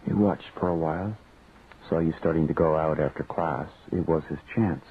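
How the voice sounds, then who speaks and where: A man's voice: very deep voice, moderate pace, slightly rough. A man playing a brooding, protective cab driver in a naturalistic radio drama, plain-spoken and controlled, with a Canadian accent. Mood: subdued. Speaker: lead actor and narrator. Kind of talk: naturalistic radio drama with first-person narration. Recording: tinny, band-limited old radio recording, faint noise.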